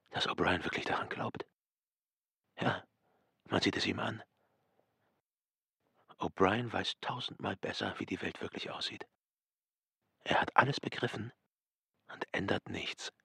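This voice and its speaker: Hoarse, low, bitter prisoner. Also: male voice, bright, forceful tone, no echo, studio quality, exaggerated voice. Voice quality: husky, breathy. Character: troubled male prisoner thinking to himself, bitter, resigned.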